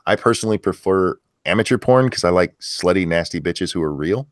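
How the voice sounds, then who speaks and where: A man with a deep voice hosting a casual livestream chat, reading viewer questions in an easygoing, crude, joking way. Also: animated, a little fast, dry recording, studio quality.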